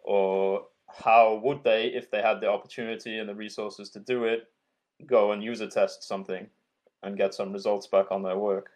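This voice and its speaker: Frank Yorkshire-accented male guest, slightly low pitch. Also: normal speed. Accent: Yorkshire English. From Yorkshire, England. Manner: frank, relaxed. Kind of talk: casual interview chat.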